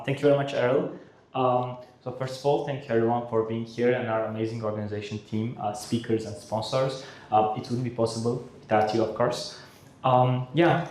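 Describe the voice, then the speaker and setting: A man's voice: medium pitch, a little mellow. A young man in his twenties with a Turkish accent giving an earnest welcome speech, with frequent uhs. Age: 20s. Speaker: conference co-host. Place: conference hall. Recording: very echoey hall.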